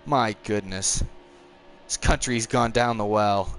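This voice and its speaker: A man's voice: on the low side, slight background noise. A nineteen-year-old man casually chatting on a live stream while playing a racing game, rambling and reacting to the race. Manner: chatty, rambling, quick to frustration, chuckles. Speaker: gaming streamer.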